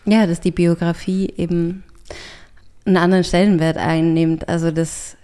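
A woman's voice: lowish voice, flat delivery, moderate pace, dark tone. A woman writer and artist talking reflectively in an interview, often restarting and searching for words. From Austria. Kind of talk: thoughtful conversational interview.

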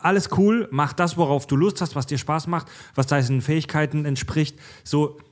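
A man's voice: fairly high pitch, normal speed. Blunt, heated podcast host man. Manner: blunt, swears freely, heated. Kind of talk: casual podcast chat.

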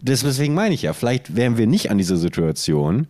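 A man's voice: mid-range voice, big pitch swings, quick pace, warm tone, dry recording. A man co-hosting a podcast in casual chat, with a probing, questioning way of talking. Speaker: podcast co-host.

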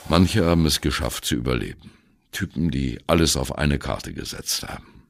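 Very deep, gravelly old jazz veteran. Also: male voice, lively intonation, normal speed, exaggerated voice. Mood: wry, proud. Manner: blunt, colloquial, wry, reflective. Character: aging male free jazz veteran, gruff, irreverent, wistful. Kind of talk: audio drama monologue.

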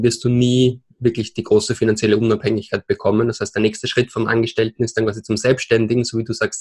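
Viennese guy in his 20s, podcast guest, hesitant, lots of ums, english words mixed in. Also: on the low side. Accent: Viennese Austrian German. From Austria. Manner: hesitant, many fillers and restarts, mixes in English words. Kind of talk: podcast interview.

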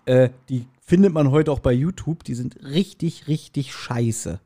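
A man's voice: medium pitch, measured pace, warm tone. A man, chatting casually and telling nostalgic anecdotes in a blunt, joking way as a podcast host.

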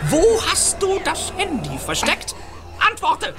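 A man's voice: very high-pitched, wildly swooping pitch, slow, exaggerated voice. A man playing a stern, menacing witch in an audio drama, speaking in a commanding, theatrical way. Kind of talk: German audio drama acting. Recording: played clip with loud music underneath.

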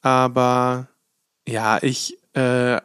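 A man's voice: mid-range voice, level tone, drawn-out words, a bit bright, clean tone, no echo. A man co-hosting a podcast, talking in loose, rambling sentences full of hedges. His voice sits close and dry on the mic with no room sound. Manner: rambling, many fillers and hedges. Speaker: podcast co-host. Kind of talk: casual chat.

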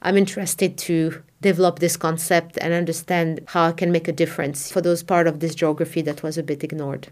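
A woman from Morocco with a lowish voice speaks in an interview about her path. She is middle-aged and reflective, and she often pauses on "you know" and restarts her sentences as she thinks aloud. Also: level tone, a little mellow. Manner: thoughtful, many "you know" fillers and restarts. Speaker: art fair founding director. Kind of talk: conversational interview answers.

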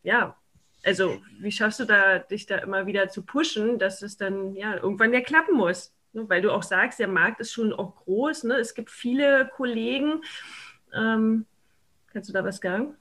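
Bright-voiced woman asking casual questions. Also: medium pitch, normal speed. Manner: informal, rambling questions. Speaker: podcast interviewer.

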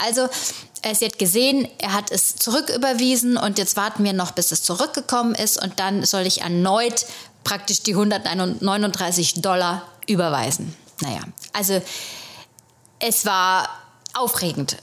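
A woman from Germany, an actress and podcast host, telling stories in a chatty, rambling way with many hesitations. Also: medium pitch. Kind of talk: casual solo podcast storytelling.

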